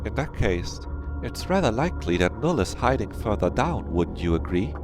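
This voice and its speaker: A man voicing an inquisitive visual novel investigator who reasons things out in a measured way. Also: lowish voice, very animated, voice pushed up. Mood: confident reasoning. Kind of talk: acted visual novel dialogue reading. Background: background game music.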